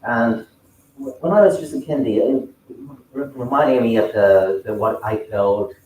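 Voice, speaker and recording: A man, a contest judge asking a speaker questions in a halting, hesitant way, with many fillers and restarts. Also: medium pitch, darkish tone, a little hiss or hum. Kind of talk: live question and answer at a speech contest. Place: large hall at a speech contest. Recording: echoey hall, mic at a distance.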